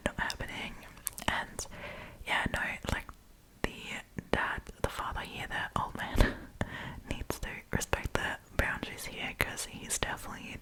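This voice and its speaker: A woman hosting an ASMR storytime in a breathy, unvoiced whisper, reading online stories aloud with chatty, informal asides, close to the microphone. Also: low-quality recording. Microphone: close propped-up microphone.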